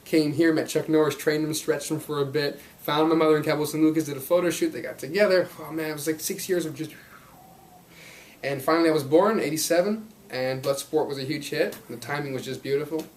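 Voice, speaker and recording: A man's voice: on the high side, brisk, a little hiss or hum. Upbeat young man, rambling chat. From Belgium and Los Angeles. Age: 20s. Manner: upbeat, rambling, laughs often. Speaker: actor guest. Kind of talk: casual interview talk.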